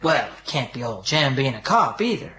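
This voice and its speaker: An actor playing a brash, tipsy young American millionaire playboy with an American accent in a radio drama. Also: male voice, medium pitch, very animated, moderate pace, sharp tone. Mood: breezy, wry.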